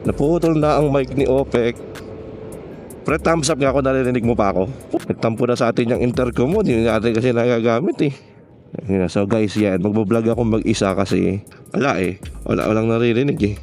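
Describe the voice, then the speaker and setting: A Filipino man chats casually as he rides, in a mid-pitched voice. He is joking and slangy and slips between his own language and English. Music plays clearly behind him, along with vehicle and street sounds. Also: brisk, a little mellow. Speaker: motovlogger. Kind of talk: casual chat while riding a motorcycle. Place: outdoors on a motorcycle in city traffic.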